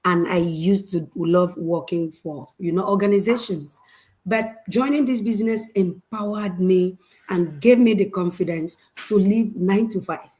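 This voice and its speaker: A woman from Nigeria speaking as a panelist in an online show, in a measured and formal way. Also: on the low side, mellow. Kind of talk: video call panel talk.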